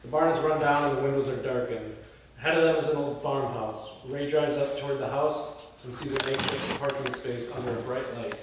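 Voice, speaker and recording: A man's voice: mid-range voice, steady tone, fairly quick. A man reading screenplay scene headings and stage directions aloud as the narrator of a read-through. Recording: echoey hall from far off, faint noise.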